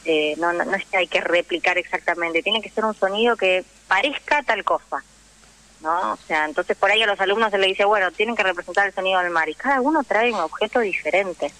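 An Argentine woman speaks as a guest in a casual radio interview, with a Rioplatense accent. Her voice is bright and forward, and she explains things in an animated, conversational manner. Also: medium pitch, fairly quick, no room sound, poor audio. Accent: Argentine Rioplatense Spanish. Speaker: interview guest, actress and theatre teacher.

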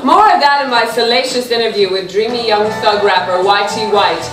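A woman's voice: mid-range voice, brisk, close to an everyday voice. A woman playing a self-absorbed, suggestive TV news anchor with a polished delivery in a live comedy sketch. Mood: sultry, self-absorbed. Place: small theatre stage before an audience. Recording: echoey, distant stage recording, loud music.

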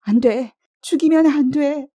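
A woman's voice: high-pitched, measured pace, dark tone, no echo, studio quality, voice pushed up. A woman voicing a brave young woman urgently pleading for mercy in an audio story. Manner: urgent, insistent.

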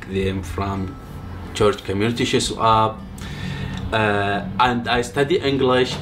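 A man in his thirties from Syria with a Syrian Arabic accent, speaking Arabic-inflected halting English in a living room interview, telling his story softly with many pauses. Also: on the low side, noisy background. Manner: halting non-native English, soft-spoken, many pauses. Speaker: refugee sharing his story. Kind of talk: personal testimony interview. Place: living room, seated on a couch. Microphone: off-camera mic, medium framing.

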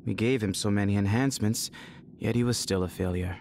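A man's voice: fairly low pitch, fairly quick, darkish tone, a little hiss or hum, lightly acted. An actor playing a cold, ruthless male government official who speaks in a clipped, dismissive way. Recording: game cutscene with music behind.

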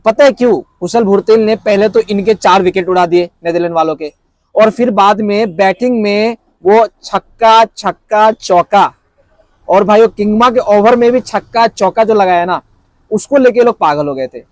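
A young man from India, talking excitedly to camera about cricket with animated, repetitive phrasing and a lapel mic. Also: high voice, moderate pace, no room sound. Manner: animated, repetitive, gestures with hands. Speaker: cricket reaction vlogger. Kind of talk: excited casual commentary to camera. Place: indoors in front of a backdrop screen.

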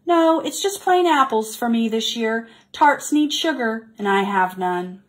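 A woman voicing a gentle graceful doe. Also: a little high, lively intonation, a little slow, a little hiss or hum. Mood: grateful. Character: gentle, graceful female deer.

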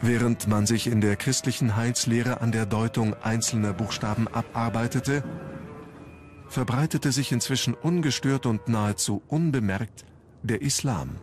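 A man's voice: medium pitch, normal speed. A man reading scripted narration for a documentary. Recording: music under the narration. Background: background music.

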